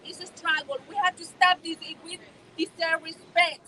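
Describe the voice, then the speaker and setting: A woman's voice: very high-pitched, flat delivery, normal speed, sharp tone, slight background noise. A woman from El Salvador, speaking earnestly at an outdoor press conference and often restarting her sentences. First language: Spanish. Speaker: healthcare worker, TPS holder speaking. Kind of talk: impassioned press conference speech.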